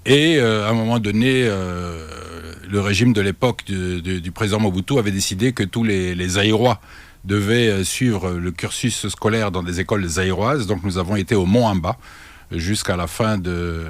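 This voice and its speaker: An older man from Congo, a guest in a radio interview, speaking haltingly with many restarts. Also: low voice, no echo. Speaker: guest, banking consultant.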